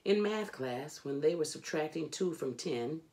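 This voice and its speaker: A middle-aged woman reading a children's book aloud in an expressive, deliberate, emphatic storytelling manner. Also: low-pitched. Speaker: storybook reader. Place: indoors, furnished home room.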